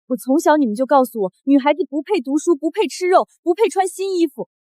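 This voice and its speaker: A young actress playing a wronged, defiant eighteen-year-old daughter, proud and resolute, with pointed delivery. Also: female voice, sits high, lively intonation, quick pace, dark tone, no room sound. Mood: bitter reproach. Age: young adult. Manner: pointed, challenging. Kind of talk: short-drama acting.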